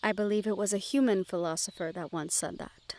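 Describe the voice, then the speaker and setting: A woman playing a gentle, well-bred young noblewoman in a fantasy audio roleplay, speaking in polite, measured phrases directly to the listener. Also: mid-range voice, steady tone, slight background noise, close to an everyday voice. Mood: serene, thoughtful.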